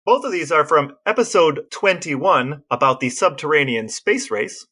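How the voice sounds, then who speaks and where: Male podcast co-host, voice a little on the high side. Conversational, precise explainer with a playful streak. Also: lively intonation, normal speed, sharp tone, slightly rough.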